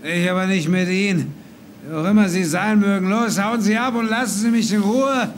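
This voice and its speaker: A man playing a bitter, defensive doctor fallen on hard times, speaking haltingly in a German audio drama. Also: high voice, a little hiss or hum, close to an everyday voice. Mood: hostile. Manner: halting, stammering, agitated. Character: defensive, bitter, disgraced male doctor who drinks.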